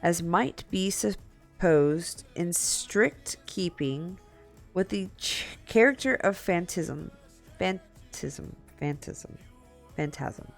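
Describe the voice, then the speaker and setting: A woman streamer reading a story aloud in a dramatic, halting way, slipping into casual asides. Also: lowish voice, expressive, vocal fry, soft background music. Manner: halting, repeats and corrects stumbled words.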